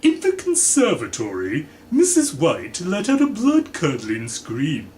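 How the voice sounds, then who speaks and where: Guy reading a story dramatically, real slow, big swoopy intonation, roomy with faint noise. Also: on the high side, huge pitch swings, a little mellow. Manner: theatrical, deliberate. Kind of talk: dramatic audiobook-style narration. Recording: echoey room, faint background noise.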